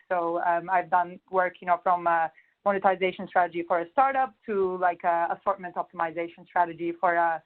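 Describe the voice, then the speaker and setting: A young woman from Turkey with a Turkish accent, chatting through a webinar self-introduction with fillers. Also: on the low side. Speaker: consultant. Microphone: conference call line. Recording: phone-line webinar audio.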